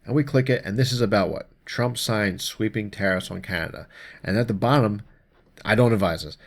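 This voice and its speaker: A man with a very low, creaky voice hosts a casual explainer. He talks through the news informally and conversationally, moving between reading aloud and offhand commentary. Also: brisk. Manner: informal, conversational, mildly profane. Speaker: host of an explainer show.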